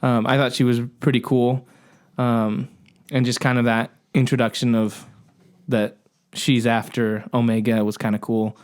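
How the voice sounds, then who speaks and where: A man's voice: mid-range voice, little pitch movement, unhurried, clear, resonant tone. A man co-hosting a podcast, talking through things in casual discussion. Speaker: podcast co-host.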